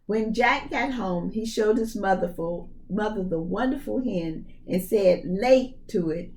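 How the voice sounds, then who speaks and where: A woman reading a storybook aloud expressively for young children, pausing to explain things to her listeners. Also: medium pitch, a little hiss or hum, rough recording. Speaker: preschool story reader.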